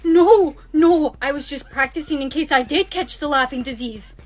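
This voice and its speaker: Woman acting a high-pitched, frightened schoolgirl. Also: normal speed, lightly acted. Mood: defensive. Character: frightened, pleading schoolgirl in a melodramatic skit. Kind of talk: melodramatic comedy skit acting.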